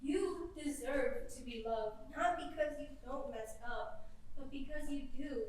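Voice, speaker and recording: A young woman playing a nurturing goddess of love on stage, soothing yet deliberate and emphatic. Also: high voice, darkish tone. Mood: earnest. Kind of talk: school stage play acting. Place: theater stage. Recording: echoey theater, distant mic, faint noise.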